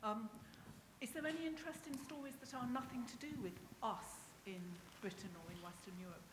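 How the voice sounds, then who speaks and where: A woman with a husky voice puts an informal question to a panel from the audience. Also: fairly high pitch, animated, brisk. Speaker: audience member. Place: large panel hall, public address microphone. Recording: echoey hall with long reverb.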